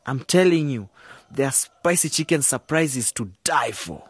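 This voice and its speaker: An actor playing a cocky, excitable young village man who fancies himself a radio DJ, showy and slangy in a radio drama. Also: medium pitch, a little slow, slightly rough. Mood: excited, proud. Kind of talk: radio drama dialogue with hyped DJ patter.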